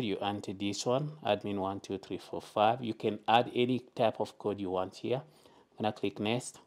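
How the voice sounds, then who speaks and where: A man hosting a step-by-step tech tutorial, talking through each setting in plain, deliberate instructions at a desk indoors. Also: fairly low pitch, a little mellow. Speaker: tutorial host.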